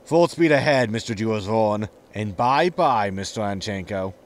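A man's voice: lowish voice, expressive, a little slow, heavy background noise. A man playing a sarcastic, casual, grumbling spaceship captain in a sci-fi comedy audio drama. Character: flippant, grumbling male starship captain.

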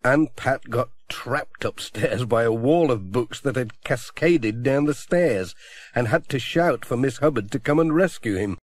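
A man's voice: medium pitch, animated, measured pace. A middle-aged man reading a children's storybook aloud as narrator. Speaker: audiobook narrator. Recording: dry close audiobook recording.

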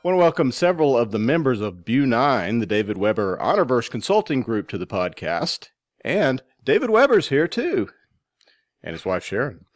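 A man's voice: mid-range voice, very animated, moderate pace, dry recording, studio quality. A man hosting a podcast, reading promotional announcements and introductions. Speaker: podcast host.

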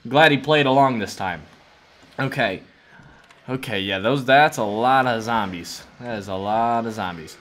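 A man's voice: mid-range voice, a little slow, fairly bright tone, a little hiss or hum. A man in his twenties giving casual, jokey gaming commentary as a host, narrating a roleplay adventure with mock drama. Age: 20s.

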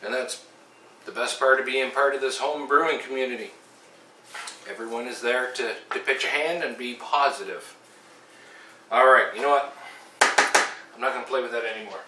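A man's voice: mid-range voice, normal speed, long pauses, pushed and bright, distant mic, slight background noise, low-quality recording. A middle-aged man with a stuffy nose from a head cold, chatting casually and upbeat through a home brewing vlog. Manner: chatty, upbeat, with frequent ums and uhs. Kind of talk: casual how-to and tasting vlog. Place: home kitchen, then small room at home.

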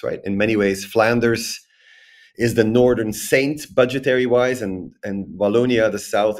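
A man in his forties, a guest expert on a podcast, recording remotely and explaining at length in a lively, structured way with frequent tag questions. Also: fairly low pitch. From Belgium. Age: 40s. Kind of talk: podcast interview, analytical explanation.

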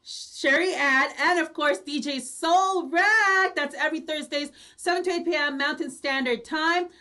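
A woman radio host with a Filipino accent speaks in a high, bright voice. She is bubbly and quick to exclaim. She chats her way through greetings and show announcements. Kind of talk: chatty hosting with shout-outs and announcements. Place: indoors, on a video call.

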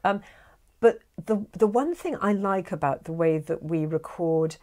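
Animated British woman, lapel mic. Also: lowish voice, a little slow. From United Kingdom. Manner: animated, gestures a lot, many restarts. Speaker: guest, actor and audio drama director. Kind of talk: casual interview chat. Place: small studio room with shelves of toys. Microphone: clip-on lapel mic.

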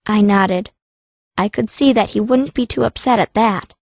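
A woman's voice: medium pitch, moderate pace, vocal fry, dry recording. A woman narrating a fantasy audiobook in the first person, as a young girl mage telling her story.

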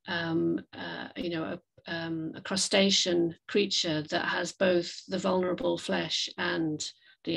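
A woman giving an online slide talk as a guest speaker over a video call, with hesitant, reflective, measured phrasing. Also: fairly low pitch, creaky, slightly rough, poor audio. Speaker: guest speaker, climate café facilitator. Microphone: video call microphone.

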